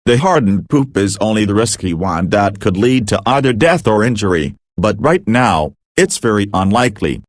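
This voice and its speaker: A man's voice: fairly low pitch, very animated, moderate pace, hardly any pauses, no echo, studio quality. A man narrating a scripted mock emergency broadcast as an announcer, matter-of-fact with casual asides.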